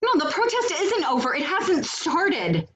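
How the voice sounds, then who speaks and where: A woman plays a bossy, organized female activist in a video-call comedy. Her high voice swings widely in pitch. She is exasperated, pointed and sarcastic. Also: wildly swooping pitch, measured pace, fairly bright tone, full character voice. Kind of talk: comedic stage acting over video call. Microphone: video call microphone.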